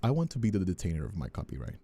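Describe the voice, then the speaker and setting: A man's voice: low voice, wildly swooping pitch, racing, mellow, slight background noise. A man who streams and voice acts, chatting casually and at some length with viewers. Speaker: streamer and ASMR voice actor. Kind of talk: casual livestream chat answering viewers.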